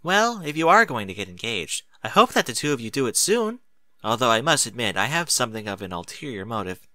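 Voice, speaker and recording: A man acts out a coy, chatty storybook mare, playfully impatient, with a lively, swooping delivery. The sound is bright and dry. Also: mid-range voice, a little fast, dry recording. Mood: giggly, eager.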